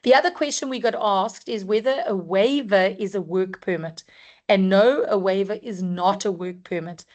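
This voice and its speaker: Croaky-voiced woman presenting a webinar. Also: medium pitch, darkish tone, muffled recording. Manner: measured, explanatory, frequent ums. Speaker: webinar presenter on labour compliance. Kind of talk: scripted-free webinar talk.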